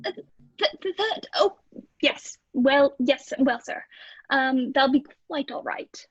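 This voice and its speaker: Woman acting a timid, high-voiced stammering landlady. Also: expressive, takes it slowly, dry recording, muffled recording. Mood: flustered, meek. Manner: hesitant, stammering, deferential. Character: timid, eager-to-please married landlady in an old British lodging house. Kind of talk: old-time radio play acting.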